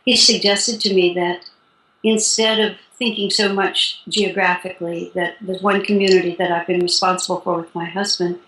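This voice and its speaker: A woman in her sixties, a spiritual teacher calmly explaining her practice in an interview, with light laughs. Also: fairly low pitch, moderate pace. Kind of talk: interview guest. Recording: roomy echo.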